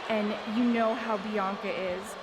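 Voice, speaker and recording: An actress playing a smug, scheming wrestler in a video game, with an American accent and sarcastic mock sincerity. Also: female voice, mid-range voice, fairly even intonation, measured pace, over a noisy crowd, very noisy, natural voice. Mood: mock-sincere, taunting. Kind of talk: video game cutscene acting, wrestling promo.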